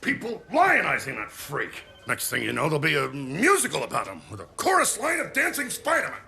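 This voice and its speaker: Blustery newspaper boss ranting in a game, super fast, swoopy pitch. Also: male voice, huge pitch swings, gravelly, slight background noise, heavily acted. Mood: indignant. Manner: loud, indignant ranting. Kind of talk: video game cutscene acting.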